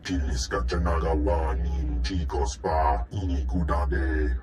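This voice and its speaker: A man's voice: extremely low pitch, takes it slowly, darkish tone. A man voicing a huge, ponderous alien crime lord speaking an invented alien language in a video game cutscene. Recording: echoey, distant, music underneath.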